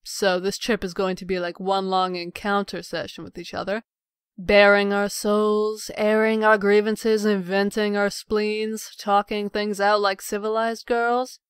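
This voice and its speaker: A woman's voice: mid-range voice, little pitch movement, measured pace, clean tone, no echo, clean studio sound, lightly acted. A woman playing a sarcastic, sulky, rebellious sister in a comic dub.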